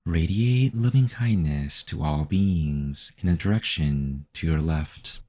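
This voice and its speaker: A man narrating a guided meditation as the guide, in a measured, instructional manner. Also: extremely low pitch, dark tone.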